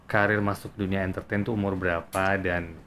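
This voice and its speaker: A man with a slightly low voice hosts a relaxed-format interview. He asks questions in an informal, conversational way and drops in English words. Some ambient noise is audible behind him. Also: fairly quick. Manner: informal, mixes English into his talk. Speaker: interview host.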